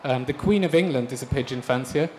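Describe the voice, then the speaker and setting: A man from Belgium giving a conference talk about his design projects, relaxed and hesitant, with frequent ums. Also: mid-range voice, fast talker. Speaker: speaker presenting design projects. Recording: reverberant hall with faint noise.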